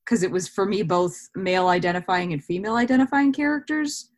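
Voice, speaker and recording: A woman in her twenties chatting casually as an interview guest, talking in a reflective, think-out-loud way. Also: medium pitch, quick pace. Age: 20s.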